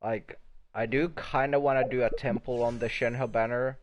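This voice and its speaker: A man's voice: mid-range voice, steady tone, moderate pace. A young man giving casual live gaming commentary, rambling along and swearing casually. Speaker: game streamer.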